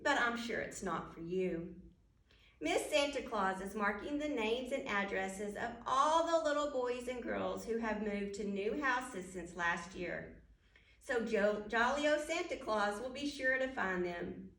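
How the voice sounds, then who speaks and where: Higher-voiced woman reading a children's story, roomy echo. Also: lively intonation, a little slow, fairly bright tone. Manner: addresses young listeners directly with questions. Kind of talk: children's storytime read-aloud. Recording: roomy echo, distant microphone.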